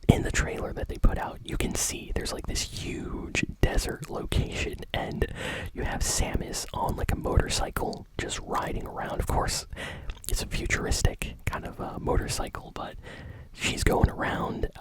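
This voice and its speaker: A woman's voice: moderate pace, rough recording. A woman hosting a casual gaming news show in ASMR style, whispering in an enthusiastic, conversational, unscripted way. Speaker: host of a gaming news channel.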